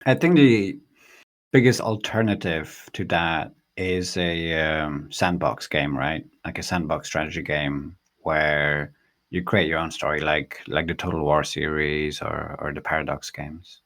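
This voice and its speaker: Male podcast host, pretty deep voice, casual, kinda thinks out loud as he asks stuff. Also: fairly even intonation, unhurried. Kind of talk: casual conversational interviewing.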